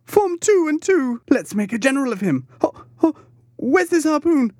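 A frenzied, grieving cabin boy in a classic sea tale. The voice is pushed high and swings wildly, chanting and lamenting with repeated cries. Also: sing-song, normal speed, darkish tone, no echo, studio quality. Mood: wild, scornful. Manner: wild chanting exclamations and lamenting repetition.

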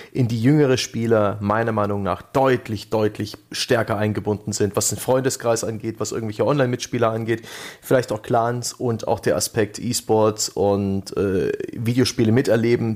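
A man's voice: medium pitch, a little mellow. Man explaining on a podcast. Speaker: podcast panelist. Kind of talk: casual podcast discussion about video games.

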